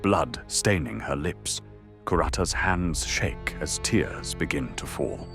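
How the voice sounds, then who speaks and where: A man's voice: very deep voice, animated, takes it slowly, gravelly, fairly bright tone. A man delivering dramatic scripted narration for an audio drama. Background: faint music.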